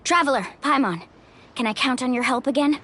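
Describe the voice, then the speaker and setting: A brave young female guide from a fantasy game speaks in a fairly high, decisive voice. She is protective and upbeat, with clear ambient noise behind her. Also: brisk, a bit bright. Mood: determined. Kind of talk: game voice acting.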